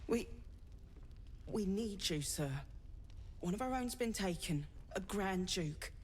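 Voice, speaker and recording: Earnest, mid-pitched woman over faint noise. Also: lively intonation, takes it slowly. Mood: urgent, respectful. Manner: respectful, urgent. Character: earnest woman pleading for a captive comrade. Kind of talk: video game character acting.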